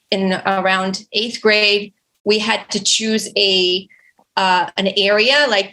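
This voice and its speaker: A woman's voice: medium pitch, sharp tone, poor audio. A woman in her forties from Romania, a native Romanian speaker, talking through her background as a podcast guest, thoughtful and full of fillers and restarts. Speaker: guest artist. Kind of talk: podcast interview.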